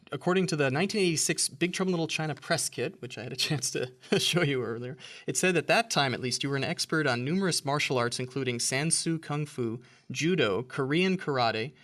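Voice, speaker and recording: Male podcast host, mid-pitched, friendly interviewer, casual and a bit stumbling. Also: a bit bright. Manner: friendly, backchannels often, slightly stumbling questions.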